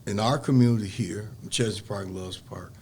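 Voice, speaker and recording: A man's voice: fairly low pitch, moderate pace, a little mellow, slight background noise. An older man, speaking earnestly and reflectively as a podcast interview guest. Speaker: guest, community organizer.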